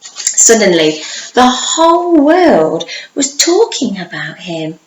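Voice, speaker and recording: A woman reading a children's story aloud like a primary teacher, with dramatic pauses and drawn-out words for young listeners. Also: mid-range voice, big pitch swings. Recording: echoey room, faint background noise.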